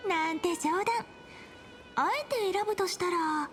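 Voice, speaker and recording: A woman voicing a playful, bubbly young woman in a game, with a high, sweet voice. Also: a little fast, a bit bright. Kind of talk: Japanese game voice acting. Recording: game audio with music behind.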